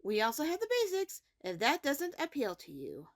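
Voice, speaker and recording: A very high female voice with lively swings in pitch, a woman acting as a caring, orderly high school principal. She delivers measured morning announcements for a fantasy web series and speaks straight to her students. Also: full character voice. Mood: appreciative.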